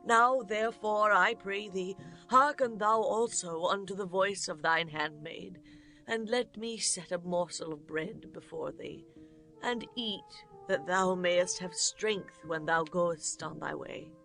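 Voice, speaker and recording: A woman acting a humble, earnest handmaid in a dramatized scripture audiobook, entreating with deliberate care. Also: lowish voice, pushed and bright, faint music behind, full character voice. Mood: earnest pleading. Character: humble, earnest handmaid urging her king.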